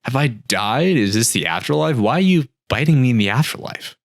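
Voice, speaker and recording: A man's voice: medium pitch, expressive, fairly quick, no room sound, studio quality, pitched above the performer's own voice, natural voice. A man playing a parched, bewildered desert castaway. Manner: bewildered, questioning, pleading. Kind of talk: storybook reading.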